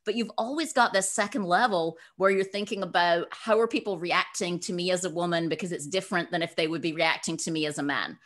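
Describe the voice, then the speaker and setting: A middle-aged woman giving conversational interview answers as a guest author, animated and given to acting out quotes. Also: on the low side, fast, few pauses. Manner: animated, acts out imagined quotes.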